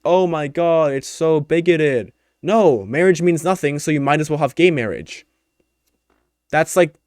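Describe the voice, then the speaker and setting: Young male podcaster, mid-pitch, rambling and opinionated, slightly worn voice, dead-dry recording. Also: a little mellow, dry recording. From United States. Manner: rambling, opinionated, many fillers and restarts. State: voice tiring. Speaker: podcast host. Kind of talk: unscripted solo commentary.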